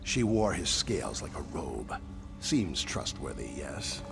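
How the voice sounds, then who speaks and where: A man's voice: extremely low pitch, sing-song, measured pace, gravelly, over music, exaggerated voice. A man playing a wary, sardonic lizard-folk survivor in a video game, speaking with dry sarcasm. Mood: sarcastic. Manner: wry, dry sarcasm, clipped questions tagged on statements. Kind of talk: video game character dialogue.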